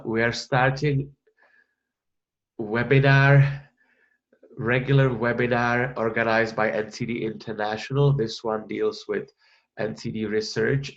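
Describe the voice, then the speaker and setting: A man's voice: medium pitch, muffled recording. A man from the Republic, presenting research in an online webinar, hesitant with many fillers and restarts. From Czech Republic.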